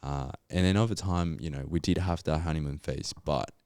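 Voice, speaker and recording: A man's voice: a bass voice, a little fast, a little mellow, no echo, studio quality. A young man from Colombia with a Colombian Spanish accent, a podcast guest telling his story in a reflective, casual way with lots of fillers. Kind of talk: casual interview chat.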